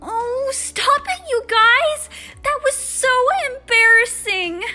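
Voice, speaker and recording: A teenage schoolgirl with an extremely high, piercingly bright voice. She is sweet and easily flustered, drawing out her words in an audio drama style. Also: expressive, extremely slow, faint music behind, heavily acted. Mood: embarrassed. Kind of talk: fan audio drama acting.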